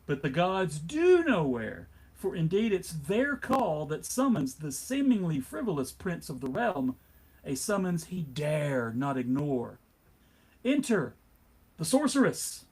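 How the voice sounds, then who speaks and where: A man with a husky voice narrating a comic book table read theatrically, with tongue-in-cheek melodrama. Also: fairly high pitch, sing-song, takes it slowly, a little mellow, poor audio.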